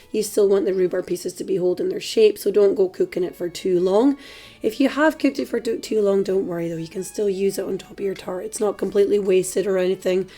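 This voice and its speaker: Slightly hoarse Scottish woman, chatty. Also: medium pitch. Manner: chatty, relaxed, with fillers. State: slightly hoarse, dry throat. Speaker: cooking channel host. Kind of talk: casual cooking tutorial voice-over.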